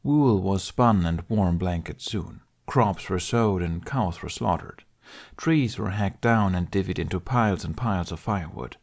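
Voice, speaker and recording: A man's voice: sits low, measured pace, darkish tone. A man reading scripted story narration as an audiobook narrator.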